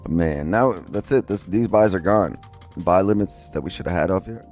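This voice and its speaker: Deep-voiced man streaming trades, casual. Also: normal speed, a little mellow. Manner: loose, profane, chatty, talks to chat. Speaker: day trading streamer. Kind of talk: casual live-stream commentary. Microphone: headset mic. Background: faint music.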